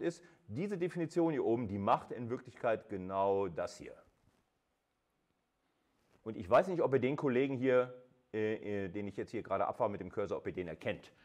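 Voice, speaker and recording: A man's voice: mid-range voice, a little mellow. A man lecturing informally in a colloquial, conversational way, explaining things step by step and addressing his listeners directly. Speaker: university lecturer.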